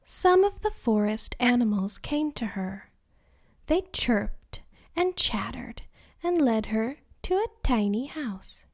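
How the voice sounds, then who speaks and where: Very slow, hushed bedtime storyteller woman. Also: fairly high pitch, sing-song. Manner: soft, hushed and soothing. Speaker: host and storyteller.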